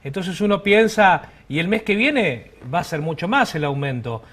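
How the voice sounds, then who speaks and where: Un hombre con acento argentino que entrevista en vivo como co-conductor, con preguntas coloquiales y críticas. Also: male voice, high-pitched, animated, normal speed, fairly bright tone. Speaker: TV co-host interviewer. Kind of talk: live interview questioning.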